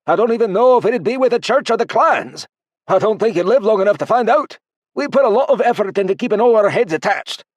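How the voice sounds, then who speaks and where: Guy putting on a frantic bossy dwarf boss, high pitched, loud and urgent, dry audiobook sound. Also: expressive, fast, no room sound. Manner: pleading, bossy, rustic speech. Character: stocky gruff male dwarf leader, harried.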